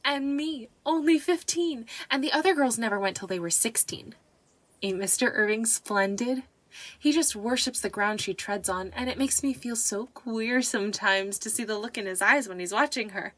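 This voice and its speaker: A woman playing an excitable, chatty fifteen-year-old country servant girl in an audiobook drama, gushing and deferential with folksy speech. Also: fairly high pitch, moderate pace, dry recording. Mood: gushing, thrilled.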